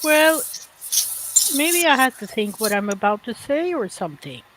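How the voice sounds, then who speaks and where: A woman chatting casually, hesitant and often pausing to search for words. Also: a little high, big pitch swings, noisy background. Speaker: chat participant. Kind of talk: casual chat.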